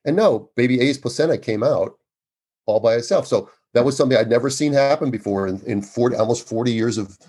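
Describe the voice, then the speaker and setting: A man in his sixties, an obstetrician speaking as a guest in an interview, with a loud, emphatic and earnest way of talking. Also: medium pitch, fairly quick, husky. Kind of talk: conversational interview.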